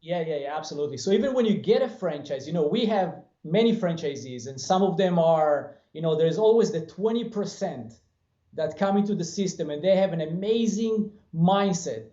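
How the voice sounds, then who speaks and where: A man's voice: on the high side, lively intonation, moderate pace, dark tone. A man in his forties with an Israeli accent, speaking earnestly and motivationally as an interview guest over a video call from a bare room. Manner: earnest, motivational, gestures with hands. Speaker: guest, franchise business owner. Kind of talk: interview answers. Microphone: webcam on video call. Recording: roomy dull video-call audio.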